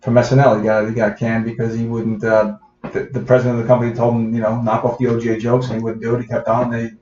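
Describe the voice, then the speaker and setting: A man's voice: mid-range voice, monotone, quick pace, a little mellow. A man with a Bronx New York accent, a comedian guest in a casual podcast interview, rambling with lots of fillers and restarts. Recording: roomy, dull podcast audio.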